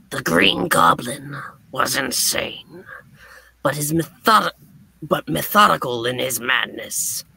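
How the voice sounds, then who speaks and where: A menacing male comic book supervillain, as a live reader puts him on, scheming and gloating. The delivery is slow and deliberate, hushed and melodramatic, with a gravelly creak. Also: mid-range voice, heavily acted, rough recording.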